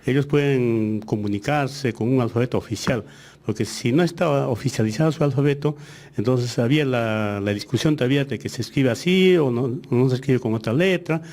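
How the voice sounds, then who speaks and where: A soft, mellow-voiced man whose first language is Aymara speaks as a government education official. He explains things step by step in a measured way and often pauses with hesitations. His pitch sits in the middle range. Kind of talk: interview answers.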